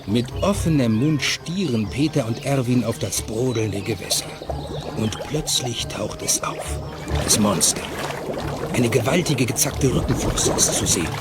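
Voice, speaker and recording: A man's voice: medium pitch, animated, a little slow, over loud music. A man narrating a scripted children's radio play as its storyteller.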